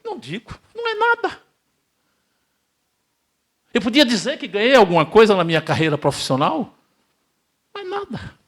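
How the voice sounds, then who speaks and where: Older lecturer, lively pitch swings, somewhat high voice, emphatic, rhetorical, spiritist talk in a hall. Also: male voice, moderate pace, pauses often. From Brazil. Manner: emphatic, rhetorical questions, swings between loud and hushed. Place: spiritist center hall, live audience.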